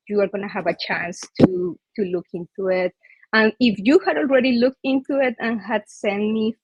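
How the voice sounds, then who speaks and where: A woman's voice: medium pitch, no room sound. A woman from Colombia with a Colombian Spanish accent, giving a friendly presentation on a video call with many fillers. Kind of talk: online user group presentation. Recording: dull, dry video call audio.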